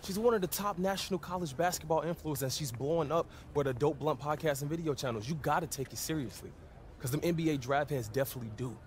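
An actor playing an insistent young college basketball teammate with an American accent, slangy and emphatic, in a video game cutscene. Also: male voice, fairly high pitch, quick pace, a little hiss or hum, natural voice. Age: young adult.

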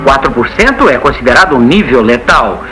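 A man's voice: mid-range voice, sing-song, fairly quick, bright, forceful tone, low-quality recording. A man voicing a self-assured male cartoon psychiatrist in a dub, speaking formally and clinically as he explains. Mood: clinical explaining. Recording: music behind the voice.

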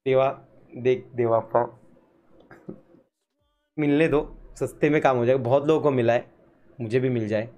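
A young man, reacting loudly and theatrically as he live-streams a game. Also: mid-range voice, normal speed, long pauses. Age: young adult. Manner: loud, theatrical, excitable. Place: indoor streaming setup in a gaming chair.

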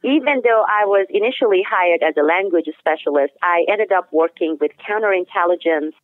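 A woman whose first language is Turkish speaks over a thin, phone-like line with no room sound at all. Her voice sits slightly low, and she works through a long account carefully, restarting a phrase now and then. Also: fairly quick, no echo. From Turkey. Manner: steady, detailed explanation with occasional restarts. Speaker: guest, author. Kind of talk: interview answers.

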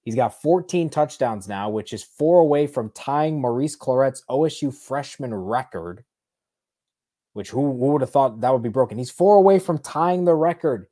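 Excitable young man streaming from home. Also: medium pitch, normal speed. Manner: excitable, rambling, sarcastic asides. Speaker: sports reaction streamer. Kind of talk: live post-game reaction. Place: small bedroom at home. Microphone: earbud headset mic on a webcam stream.

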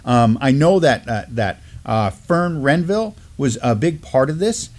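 A man's voice: mid-range voice, lively intonation, measured pace, darkish tone. A middle-aged American man hosts a news chat with a mid-range voice. He talks informally and with enthusiasm, often circling back over his phrases as he moves between items. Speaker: news show host. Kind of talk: casual news discussion. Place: indoor studio at a table.

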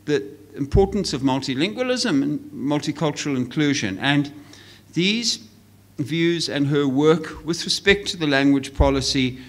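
A man's voice: fairly high pitch, a bit bright. Older man giving a formal ceremonial introduction. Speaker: academic introducing the speaker. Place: large hall, at a podium. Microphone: podium microphone.

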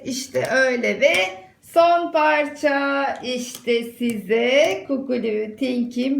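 A woman's voice: high-pitched, vocal fry. A mother chatting casually with her child, encouraging and instructing while narrating an activity for a video. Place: indoors at home. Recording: echoey room, music playing. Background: music and a young child talking.

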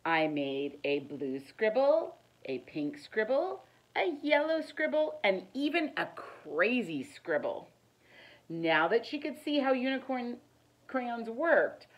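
A middle-aged woman reads a children's picture book aloud in an expressive, deliberate storytelling manner. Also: mid-range voice, highs cut off. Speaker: teacher reading aloud. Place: living room, indoors.

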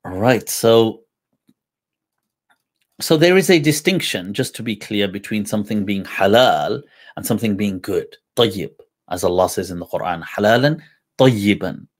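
A man's voice: on the low side, unhurried. A man in his thirties, an Islamic scholar answering questions on a livestream, talking casually and animatedly, with frequent laughs and repeated words. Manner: animated, gesturing a lot, with frequent laughs and repetitions. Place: indoor studio set with a printed backdrop.

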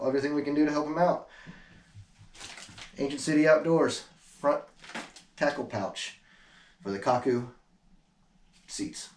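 A man's voice: on the high side, a little mellow. An American man in his thirties giving a laid-back, conversational product review to camera. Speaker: product reviewer, kayak angler. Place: small room indoors. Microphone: camera mic a few steps away. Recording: roomy echo, noticeable background noise, clicks.

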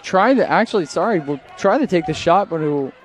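A young man doing live play-by-play for a night soccer game outdoors, his voice strained, getting louder on attacks and correcting himself often. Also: sits high, animated, a little fast. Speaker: play-by-play commentator. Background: crowd noise.

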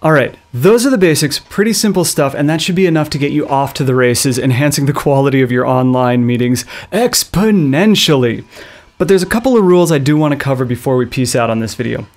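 A man in his thirties hosting a loose tech tutorial from a small studio, speaking close into a boom-arm microphone with playful, goofy asides. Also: lively intonation, a little fast. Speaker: video tutorial host. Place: small studio with a blue backdrop. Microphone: studio mic on a boom arm, close.

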